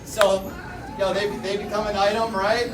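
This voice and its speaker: A man's voice: high voice, little pitch movement, normal speed, heavy background noise. A man in his thirties giving a rambling, joking rehearsal dinner toast on a handheld microphone, full of "you know" fillers. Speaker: best-man-style speaker, bride's older brother. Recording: echoey room, voice from far off. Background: loud music and crowd.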